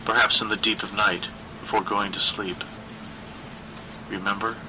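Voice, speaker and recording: A man voicing a weary, frightened middle-aged woman who reasons and coaxes in an earnest, halting way in a horror story. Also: lowish voice, flat delivery, noisy background, lightly acted. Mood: weary coaxing.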